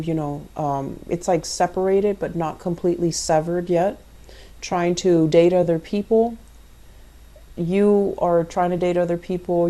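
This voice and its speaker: A woman's voice: fairly low pitch, dark tone. A woman giving a conversational tarot card reading, explaining things and speaking directly to the listener. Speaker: tarot reader and channel host.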